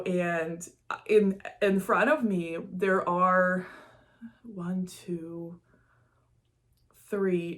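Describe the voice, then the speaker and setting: A woman from Russia talking casually and candidly to her viewers, stressing key words in a confessional vlog style. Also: on the low side. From Moscow, Russia. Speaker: vlogger. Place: studio, indoors.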